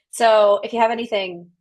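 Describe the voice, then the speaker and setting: A woman's voice: on the high side, a little mellow. A woman, a singer, chatting casually and emphatically to viewers on a live video call. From Ireland. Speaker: singer and co-host. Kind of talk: casual live-stream chat.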